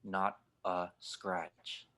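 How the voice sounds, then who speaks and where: Man playing a low, gruff space pilot. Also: flat delivery, very slow, sharp tone, exaggerated voice. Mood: grudging, tense. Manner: blunt, sardonic. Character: gruff, skeptical, world-weary male spaceship pilot. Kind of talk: audio drama table read.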